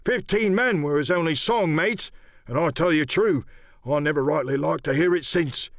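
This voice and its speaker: A man's voice: fairly high pitch, moderate pace, dry recording, studio quality, voice pushed up, natural voice. A man voicing a haunted, superstitious old pirate spinning a yarn in an audiobook, in rambling, theatrical rustic sailor dialect. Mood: haunted, dreading. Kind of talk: audiobook character acting.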